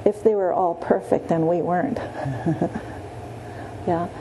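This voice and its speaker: A woman teaching a meditation class in a gentle, halting way, often pausing and adding "yeah.". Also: lowish voice, fairly even intonation, background noise. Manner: gentle, many pauses and restarts, frequent "yeah". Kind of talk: guided Buddhist meditation teaching. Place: meditation room.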